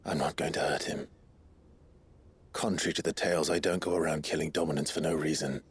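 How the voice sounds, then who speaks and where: Grim warrior, deep, very husky, quietly resolute, earnest, video game cutscene. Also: male voice, steady tone, a little fast, exaggerated voice. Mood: firm, reassuring. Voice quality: husky, gravelly.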